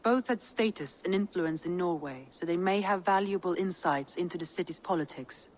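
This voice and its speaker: A woman playing a composed, shrewd advisor in a video game, measured and formal. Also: lowish voice, a little mellow, slight background noise, lightly acted, low-quality recording. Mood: calm, advising.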